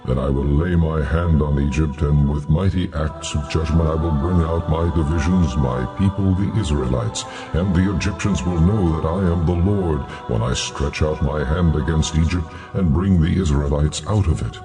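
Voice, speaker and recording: A very deep, solemn divine male voice, declaring and commanding with stately authority in a scripture audio drama. Music plays clearly behind the words. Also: moderate pace, few pauses.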